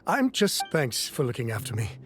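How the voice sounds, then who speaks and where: Game bit part: anxious, apologetic subordinate, hesitant and deferential, mid-to-low voice. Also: male voice, wildly swooping pitch, moderate pace, fairly bright tone. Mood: apologetic, grateful. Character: nervous, apologetic adult patient or messenger. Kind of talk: video game voice acting.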